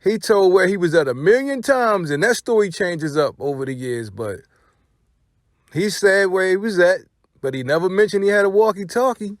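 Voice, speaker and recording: Higher-voiced American man, slangy commentary. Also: lively intonation, normal speed, no echo, studio quality. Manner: profane, slangy, rhetorical questioning. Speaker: commentary channel host. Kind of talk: casual hip-hop gossip commentary.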